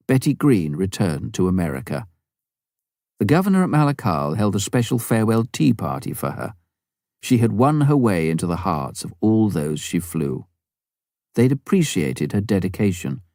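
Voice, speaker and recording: A man with a deep, mellow voice reads a memoir as an audiobook narrator. His intonation moves widely while the storytelling stays measured and clearly phrased. The delivery is scripted narration throughout. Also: no room sound, clean studio sound.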